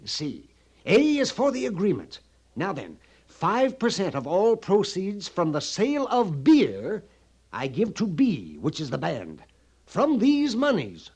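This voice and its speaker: An actor playing a mournful, fussy army sutler in an old-time radio western, speaking in florid, rambling laments. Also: male voice, high voice, a little mellow, breathy edge, exaggerated voice.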